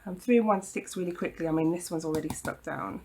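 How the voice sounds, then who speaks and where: A woman demonstrating a craft project at her home craft table, croaky from hay fever, talking in a chatty, reassuring way with frequent ums and apologies. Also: fairly low pitch, brisk, background noise. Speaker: craft tutorial host. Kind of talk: casual hands-on craft demonstration.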